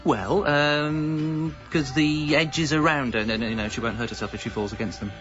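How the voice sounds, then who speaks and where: A man's voice: fairly high pitch, normal speed, fairly bright tone, natural voice. An actor playing a husband in radio comedy dialogue, sarcastic and conversational as he bickers at home. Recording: muffled audio with music.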